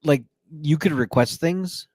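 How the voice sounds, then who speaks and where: A man's voice: a little high, unhurried, a little mellow, breathy edge, no echo. A man co-hosting a podcast, telling a long personal story in casual chat with plenty of fillers and restarts. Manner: talkative storyteller, many fillers. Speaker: podcast co-host.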